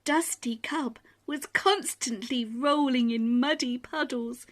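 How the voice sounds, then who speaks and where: Female children's storyteller: high voice, very unhurried, expressive, dramatic pauses. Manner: animated, dramatic pauses and emphasis. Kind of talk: expressive bedtime story reading.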